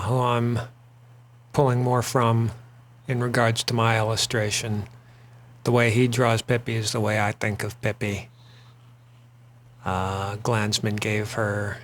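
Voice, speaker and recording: A man's voice: mid-range voice, a bit bright. A man hosting a drawing show, chatting casually and rambling a little with frequent fillers while he sketches. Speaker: artist and host.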